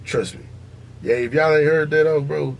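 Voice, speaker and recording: A man's voice: on the high side, steady tone, normal speed, vocal fry. Guy hosting a podcast, blunt n slangy, keeps repeating his point, some noise in the background. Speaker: podcast host. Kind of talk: casual opinionated banter on music and sports.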